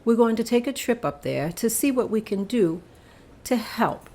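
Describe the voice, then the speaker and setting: A woman at a table read playing an agreeable club member and secretary in a plain, steady voice. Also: fairly high pitch, normal speed.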